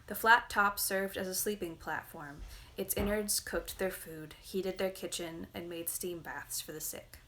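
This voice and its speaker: Lower-pitched woman reading a novel aloud. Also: fairly even intonation, measured pace, pushed and bright. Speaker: reader. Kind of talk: literary storybook reading aloud.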